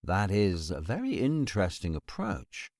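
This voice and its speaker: An actor playing a cold, contemptuous lord in a fantasy audiobook, with an imperious, cutting and formal way of speaking. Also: male voice, sits low, wildly swooping pitch, a little slow, darkish tone, no echo, studio quality, exaggerated voice. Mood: disdainful. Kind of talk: full-cast fantasy audiobook acting.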